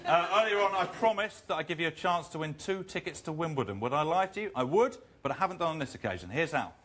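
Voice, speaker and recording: A man hosting an outdoor segment with a loud voice, bantering with a guest in a teasing, excitable, mock-competitive way on a handheld microphone. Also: a little high, quick pace. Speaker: host. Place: outdoors at a busy tennis event. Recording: busy crowd and background noise.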